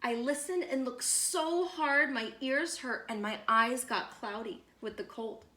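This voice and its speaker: A woman reads a picture book aloud to children in a slightly high voice. Her delivery is slow, expressive and measured, with deliberate pauses. The room adds a noticeable echo. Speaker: read-aloud storyteller.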